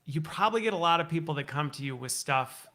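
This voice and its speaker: Inquisitive man with a bright, forceful voice. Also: a little high, expressive, fast. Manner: talkative, inquisitive, recaps and checks back. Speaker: show host and interviewer. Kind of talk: conversational interview, thinking aloud.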